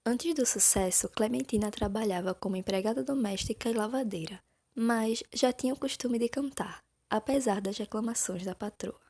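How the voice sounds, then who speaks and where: A woman reads a scripted narration in a measured, informative way. Her voice is slightly high and breathy, with a husky edge. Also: a little mellow.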